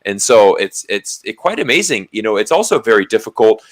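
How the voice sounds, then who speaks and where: An American man with a slightly low voice talks casually on a livestream. He is emphatic, often repeating words to stress his point as he shares opinions with a co-host. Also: very animated, brisk. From Florida, United States. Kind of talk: casual livestream political chat.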